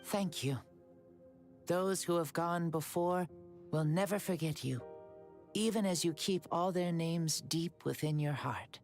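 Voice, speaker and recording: A serene boy with the soul of an ancient hero in an anime game. The voice sits on the low side, formal, gentle and measured, with faint music behind it. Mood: grateful. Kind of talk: anime video game voice acting.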